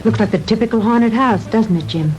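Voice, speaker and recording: A woman's voice: a little high, fairly quick, over music, close to an everyday voice. An actress playing a kind, composed young woman in a 1960s B-movie, polite and earnest. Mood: gentle concern. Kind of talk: 1960s B-movie film acting. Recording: noisy old 1960s film soundtrack.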